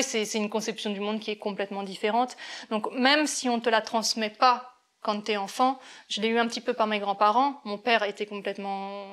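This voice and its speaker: A woman born in France, an interview guest, talking about her life slowly and reflectively, with many hesitations and restarts. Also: medium pitch, fairly bright tone. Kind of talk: reflective personal testimony.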